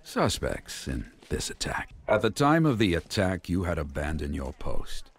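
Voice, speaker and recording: An actor playing a pompous, menacing middle-aged government security advisor, a scheming villain in a video game. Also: male voice, low-pitched, sing-song, measured pace, breathy edge, full character voice. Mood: smug, formal.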